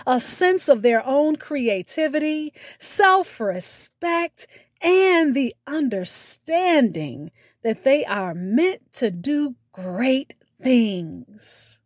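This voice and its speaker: A woman podcast host reading a scripted sign-off in deliberate, measured phrases with emphatic words. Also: sits high, no echo, clean studio sound. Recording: music playing behind the voice.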